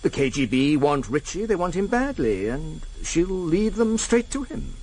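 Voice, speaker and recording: A man's voice: a little high, very animated, unhurried, a little mellow, full character voice, low-quality recording. A man acting in a radio drama as a pedantic, coolly menacing senior intelligence official, with a fussy, precise, insinuating manner. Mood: probing.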